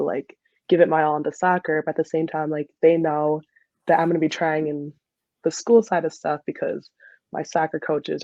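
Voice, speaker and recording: Woman in her twenties, gravelly fry, casual chatty interviewee, dry dull lo-fi sound. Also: low-pitched, mellow, dry recording. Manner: chatty, frequent laughter and filler "like". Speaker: podcast guest, soccer player and dental student.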